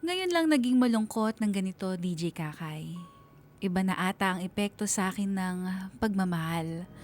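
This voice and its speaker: A woman's voice: mid-range voice, natural voice. An actress playing a melancholy young woman who narrates her own story in a radio drama, wistful and confiding.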